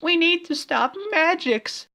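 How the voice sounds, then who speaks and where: A man voicing an excitable young female student scientist in a parody story, melodramatic and exclaiming in a strained high voice. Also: slow, vocal fry, exaggerated voice. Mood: frantic, shouting. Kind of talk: parody fan fiction character voice.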